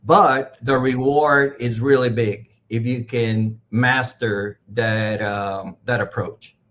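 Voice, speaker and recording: Measured Puerto Rican man answering interview questions. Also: medium pitch, steady tone, fairly bright tone. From Puerto Rico. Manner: measured, pausing with fillers, non-native phrasing. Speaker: podcast guest.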